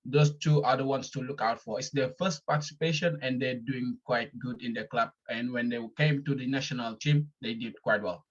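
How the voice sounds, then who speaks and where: A man from Senegal, a fan interviewed over a call, speaking calmly with frequent hesitations. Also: mid-range voice, moderate pace, few pauses, muffled recording. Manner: hesitant, many ums, calm. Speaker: guest fan. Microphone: phone or video call.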